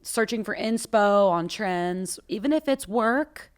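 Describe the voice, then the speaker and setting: A woman's voice: mid-range voice, expressive, measured pace, clear, resonant tone. A young woman singer co-hosting a podcast, chatting casually and animatedly in a studio. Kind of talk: casual chat. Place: recording studio.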